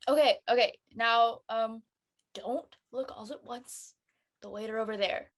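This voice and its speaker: A woman's voice: fairly high pitch, unhurried. A woman playing an earnest, explanatory teenage girl who talks in casual slang in a drama read. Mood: exasperated. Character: earnest churchgoing teenage girl, preachy friend.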